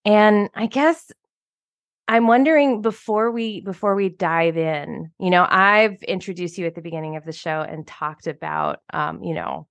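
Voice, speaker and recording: Gravelly-voiced woman podcast host. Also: fairly low pitch, fairly even intonation, no room sound, dull audio. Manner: warm, halting with many fillers. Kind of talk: podcast intro and interview.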